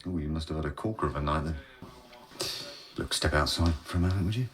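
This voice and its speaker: An actor playing a boastful, scheming scientist who runs the project, pushy and self-assured in a radio drama. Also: male voice, a bass voice, fast talker, fairly bright tone. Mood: pleading. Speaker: project leader.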